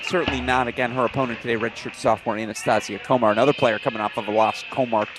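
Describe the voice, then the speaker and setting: A man doing play-by-play commentary for a college tennis broadcast, brisk and informative, with brief apologetic asides. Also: mid-range voice, steady tone, over music.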